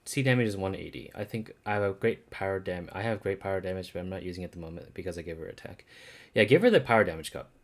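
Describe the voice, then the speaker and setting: Low-pitched, lively gaming streamer. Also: fast talker. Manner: informal, sweary, stop-start. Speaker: game streamer. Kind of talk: casual live gaming commentary.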